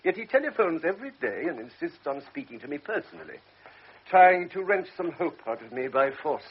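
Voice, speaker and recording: A man's voice: fairly high pitch, big pitch swings, a little fast, dull audio, slight background noise. A man playing a blunt, cynical middle-aged doctor in a radio drama, talking in a brusque, lecturing, world-weary way. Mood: exasperated.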